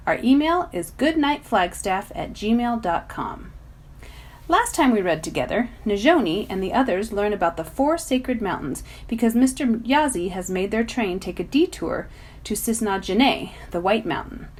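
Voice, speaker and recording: A woman reading a children's novel aloud as a volunteer, in expressive narration. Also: mid-range voice, normal speed. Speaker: volunteer story reader.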